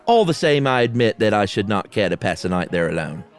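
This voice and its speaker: A man voicing a stuffy, sceptical English gentleman, slow and emphatic, with a put-on British accent. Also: lowish voice, very animated, clear, resonant tone, faint music behind. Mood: stubborn, haughty. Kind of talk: amateur dramatic reading.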